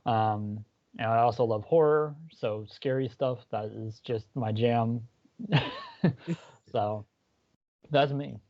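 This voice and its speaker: A man's voice: mid-range voice, slow pace, long pauses, dry recording. Male guest, mid-range voice, rambling and casual, dry dull audio. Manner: lots of ums and uhs, rambling. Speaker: podcast guest. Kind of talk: casual self-introduction.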